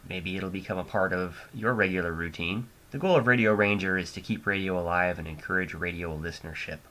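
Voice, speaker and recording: An American man hosting an amateur radio show, narrating in deliberate, drawn-out phrases with pauses, recorded at home on a hobbyist microphone. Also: low-pitched, sharp tone. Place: home studio. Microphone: older hobbyist microphone.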